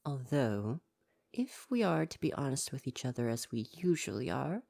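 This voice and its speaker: Mellow, lilting, proper royal butler acted by a man. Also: mid-range voice, very animated, normal speed, vocal fry. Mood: attentive, deferential. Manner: formal, deferential, fussily attentive. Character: devoted, proper male royal butler to a prince. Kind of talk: ASMR roleplay, addressing the listener.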